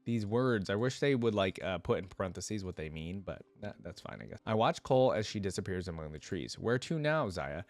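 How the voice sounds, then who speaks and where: A man's voice: deep voice, expressive, a little fast, hardly any pauses, a little mellow, soft background music. A man reading fantasy lore aloud and commenting on it in a casual, informal way, as a reaction video creator. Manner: casual, informal asides between read passages.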